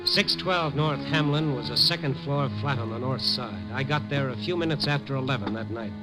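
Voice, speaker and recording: An actor playing a hardboiled newspaper reporter who narrates in dry, laconic wisecracks in an old radio drama. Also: male voice, medium pitch, moderate pace, lightly acted, poor audio. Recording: old radio broadcast, music bed.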